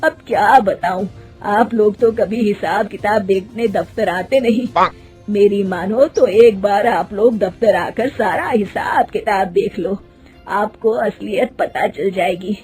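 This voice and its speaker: A woman's voice: medium pitch, measured pace, darkish tone, breathy edge, soft background music. A woman voicing a kindly old male accountant in a cartoon family story, familiar and worried in manner.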